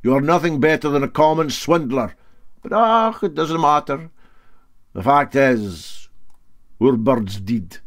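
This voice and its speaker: Man playing a wily, lively Scottish sea captain. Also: mid-range voice, measured pace, voice pushed up. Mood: cool, sly. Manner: drawling, coolly sly.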